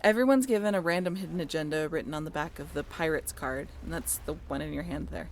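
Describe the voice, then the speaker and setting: A woman's voice: low-pitched, fast, a little hiss or hum. A woman playing a friendly, easygoing ship's crew member, chatting informally in a roleplay audio drama. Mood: friendly, amused.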